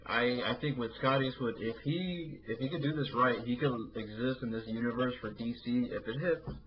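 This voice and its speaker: A man's voice: medium pitch, normal speed, slight background noise. Rambling man, dull lo-fi sound. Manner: rambling, lots of fillers and restarts. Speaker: podcast co-host. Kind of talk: casual movie chat.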